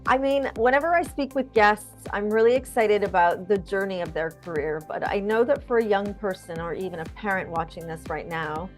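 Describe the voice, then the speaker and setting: A woman's voice: medium pitch, normal speed, hardly any pauses, faint music behind. A woman hosting an interview show, introducing her guest and putting questions in a structured, conversational way.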